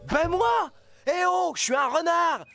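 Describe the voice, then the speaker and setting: An actor voicing an excitable, cocky, defensive male fox who poses as a dog, in an emphatic cartoon dubbing style. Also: extremely high pitch, a little slow, exaggerated voice. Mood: boastful. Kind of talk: animated French cartoon dubbing. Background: music.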